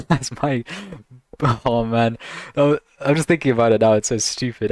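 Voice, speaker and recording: A man's voice: medium pitch, dry recording. A man casually reacting to videos on a stream, laughing a lot and teasing in a slangy, joking way.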